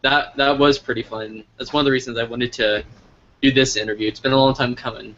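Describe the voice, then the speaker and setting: Bright-voiced American man, noisy lo-fi recording. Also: medium pitch, steady tone, fairly quick. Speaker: interviewer. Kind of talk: casual interview chat. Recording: lo-fi audio with clear background noise.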